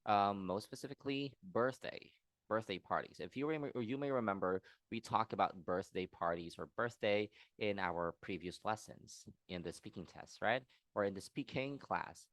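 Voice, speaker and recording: Teacher, male, low voice, dry close sound, patient and encouraging, online lesson. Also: moderate pace, a bit bright, dry recording. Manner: patient, encouraging, checks in often. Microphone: video call.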